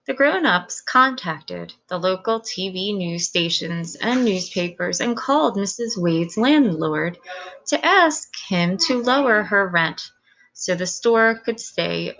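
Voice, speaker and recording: A woman's voice: lowish voice, bright, forceful tone, poor audio. Woman reading a children's storybook aloud. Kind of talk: children's storybook read-aloud, scripted narration.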